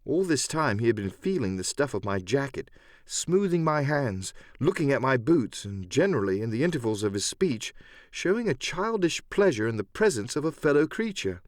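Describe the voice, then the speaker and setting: Male storyteller for adventure audiobook: mid-pitched, highly animated, measured dramatic phrasing. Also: no echo, studio quality. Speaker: audiobook narrator. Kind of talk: dramatic scripted narration of an adventure novel.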